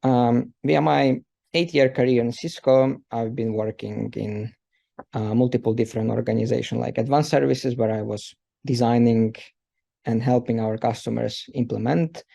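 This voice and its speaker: A man in his thirties from Ukraine presents a technical session for an audience. His voice is gravelly and mellow, with a low, dark color. He talks loosely, filling gaps with uh and um. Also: steady tone, normal speed. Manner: loose, filler-heavy speech with many uh and um. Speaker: presenter, systems engineer.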